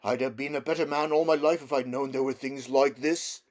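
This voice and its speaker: A man's voice: mid-range voice, steady tone, a little fast, voice pushed up, lightly acted. A man voicing a humble, good-hearted working-class cabby in a storybook, with colloquial speech. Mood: awed.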